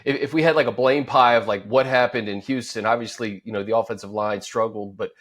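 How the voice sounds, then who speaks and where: A man hosting a casual sports discussion, reflective and somewhat halting as he sets up his point. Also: fairly low pitch, fairly even intonation, fairly bright tone. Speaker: sports show host.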